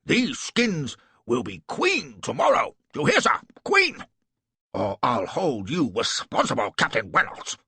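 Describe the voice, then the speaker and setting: A raging, sneering aristocratic lord. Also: male voice, mid-range voice, big pitch swings, moderate pace, few pauses, bright, forceful tone, breathy edge, no echo, pitched above the performer's own voice, exaggerated voice. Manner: sneering, bullying, repeats "sir" with scorn. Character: pompous raging aristocratic cavalry lord, middle-aged man. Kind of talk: audiobook character voice.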